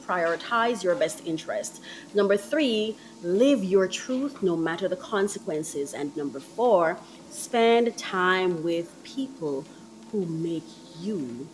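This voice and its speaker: A woman talks slowly and gently in a lowish voice, handing out encouraging tips as she walks on an indoor treadmill. Her phone sits at her feet, and faint noise hangs behind her. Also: rough recording. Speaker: podcast host. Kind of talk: casual motivational talk while walking.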